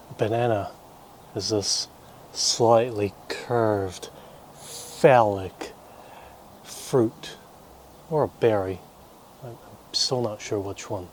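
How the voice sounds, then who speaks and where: Low-voiced young New man, Korean-born. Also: a little hiss or hum. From South Korea, raised in New Zealand from preteens. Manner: dry, wry humour with deliberate pauses. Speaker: vlogger and teacher. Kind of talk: rambling casual monologue to camera.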